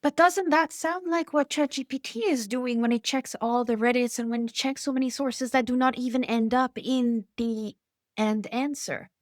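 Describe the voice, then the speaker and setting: An expert woman guest from Hawaii tells her points in an interview with emphatic animation. Her voice sits fairly high. The recording is close and dry. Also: normal speed, no echo. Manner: animated, emphatic storyteller who laughs between points. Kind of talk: conversational interview.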